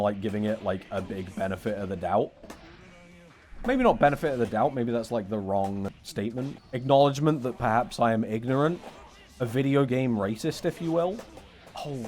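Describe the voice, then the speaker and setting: A man's voice: mid-range voice, darkish tone, a little hiss or hum. A man streaming a fighting game and chatting casually with his chat, joking and sarcastic in a rambling way. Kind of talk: casual live-stream chat while playing.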